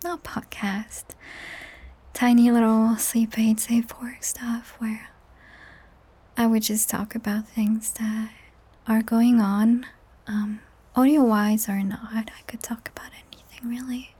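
A young woman hosting a whispered, ASMR-style chat podcast, rambling softly with giggles, her voice strained and cracked. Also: fairly high pitch, little pitch movement, takes it slowly, slightly rough. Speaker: podcast host and audio creator. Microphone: high-end studio microphone.

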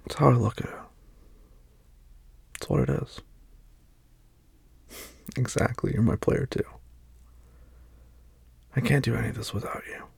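A man speaking softly and reassuringly to a partner, often dropping to a tender whisper in an intimate one-sided roleplay. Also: medium pitch, steady tone, unhurried, gravelly, long pauses, breathy edge, no echo. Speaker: boyfriend speaking to an unseen listener.

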